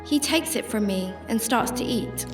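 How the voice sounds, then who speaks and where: A woman's voice: fairly high pitch, expressive, normal speed, recorded from a distance, natural voice. A woman playing a compassionate, troubled homeless shelter volunteer, narrating and speaking in a radio drama. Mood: reflective, concerned. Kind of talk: first-person radio drama narration and dialogue. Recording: roomy sound with music behind.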